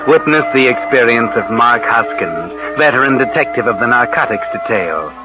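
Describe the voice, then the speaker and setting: A man playing a mysterious, all-knowing narrator of crime tales in slow, theatrical 1940s radio suspense style. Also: mid-range voice, animated, lightly acted. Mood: grave. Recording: dull old radio recording, loud music behind. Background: dramatic music.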